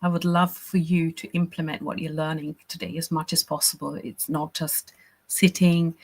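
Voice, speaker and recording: A chatty, encouraging woman from Austria coaches a live workshop, and her voice sits a little low. She keeps checking in with her listeners as she explains her ideas. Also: level tone, moderate pace. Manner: chatty and encouraging, frequent "right?" and "you know". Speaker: business coach running a workshop. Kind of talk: casual live workshop teaching.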